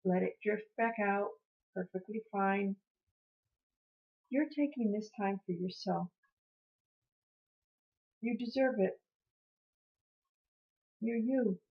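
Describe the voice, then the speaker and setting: A woman leads a guided meditation at a markedly slow pace. Her voice sits at a medium pitch, and she soothes and encourages as she repeats phrases. The recording sounds dull and lo-fi. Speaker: meditation teacher.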